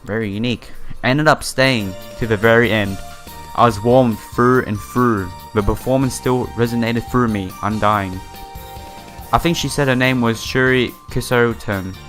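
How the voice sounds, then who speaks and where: A man's voice: medium pitch, normal speed, a bit bright. A man doing a playthrough of a visual novel, reading the text aloud with casual commentary and stumbling over some words. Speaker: let's player. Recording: background music behind the voice. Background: game music.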